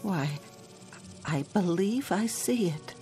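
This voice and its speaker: A woman's voice: medium pitch, lively intonation, slow, warm tone, soft background music. An actress playing a timid, doubtful old woman in a radio drama, tentative and questioning. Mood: dawning wonder.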